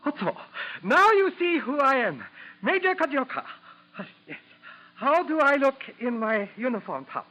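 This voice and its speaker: An actor playing a boastful little Japanese spy in a 1940s radio drama, with an exaggerated accent and clipped broken English. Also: male voice, very high voice, big pitch swings, a little slow, bright, forceful tone, exaggerated voice. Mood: gloating, fanatical. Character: boastful, fanatical little Japanese spy posing as eager informant. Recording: dull lo-fi 1940s radio recording.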